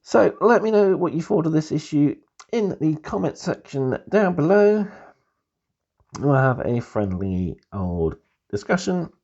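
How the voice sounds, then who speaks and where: A hoarse man nursing a heavy cold hosts a laid-back review, his voice gravelly and worn. His tone is mellow and dark, but his pitch swings up and down with lively turns. The recording sounds dull and muffled. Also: a little slow. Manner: relaxed, easygoing, keeps calling things pretty cool. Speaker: host of a tabletop hobby channel. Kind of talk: casual magazine review.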